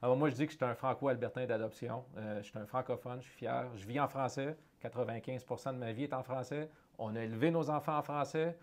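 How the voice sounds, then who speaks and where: A man's voice: medium pitch, fairly even intonation, quick pace, hardly any pauses. Earnest middle-aged man, Canadian French accent, interview guest who restarts phrases while searching for words. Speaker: guest, head of a francophone economic development organization. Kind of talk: conversational interview.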